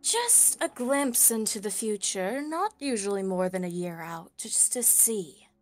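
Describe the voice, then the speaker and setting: A woman's voice: medium pitch, animated, a little slow. A woman chatting casually and roleplaying as a player in a tabletop game. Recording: music behind the voice.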